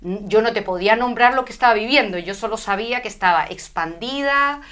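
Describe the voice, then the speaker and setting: A middle-aged woman from Spain, telling her story as an interview guest with an animated, spiritual way of talking. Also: a little high, brisk. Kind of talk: conversational interview.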